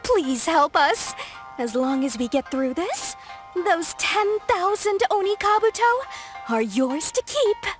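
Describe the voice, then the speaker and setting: A woman's voice: very high voice, very animated, slow, soft background music, full character voice. A woman voicing a sweet young damsel in an anime-style game, earnest, melodramatic and imploring. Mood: distressed pleading. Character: sweet, pleading young damsel of a family business.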